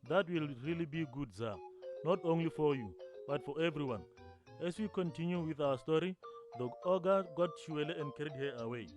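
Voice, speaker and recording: A man voicing a grandfather who tells a folk tale in a calm, measured way. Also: on the high side, lightly acted. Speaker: storyteller. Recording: music playing underneath. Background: music.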